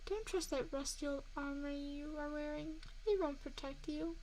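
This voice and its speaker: A woman's voice: high voice, normal speed, a little mellow, close to an everyday voice, rough recording. A woman voicing a seductive, menacing female vampire in an intimate ASMR roleplay, mocking and taunting a single listener up close. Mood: threatening, commanding. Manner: teasing, taunting, intimate address to one listener. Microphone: close binaural 3Dio microphone. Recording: faint background noise, close binaural mic.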